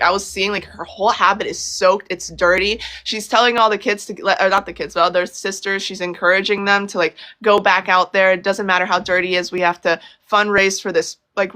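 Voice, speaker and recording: A woman's voice: medium pitch, pushed and bright. A young woman from Brazil, a guest in a casual interview, talking effusively with many fillers and restarts.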